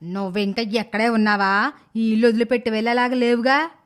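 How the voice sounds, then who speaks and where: A woman's voice: a little high, steady tone, a little fast, natural voice. Woman playing a blunt, nagging old grandmother. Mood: scornful. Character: blunt, scheming old grandmother and mother-in-law. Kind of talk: animated moral story dialogue.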